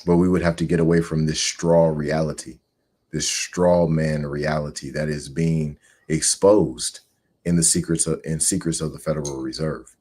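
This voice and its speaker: A man in his forties with a deep, low-set voice that carries a grainy, husky edge. He is a host who reads a book aloud in a measured, earnest way. He also shares his own thoughts on the reading. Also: steady tone, darkish tone. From United States. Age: 40s.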